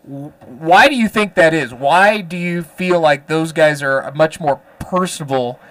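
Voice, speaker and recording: A man hosting a sports radio show, asking questions in an animated, candid way with stammering restarts. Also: a little high, measured pace. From Mexico. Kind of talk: casual sports radio interview.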